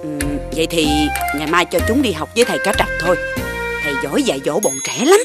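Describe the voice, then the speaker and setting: A woman voicing a doting, anxious catfish mother in an animated children's fairy tale. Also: deep voice, quick pace. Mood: anxious, motherly. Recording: very loud music under the voice.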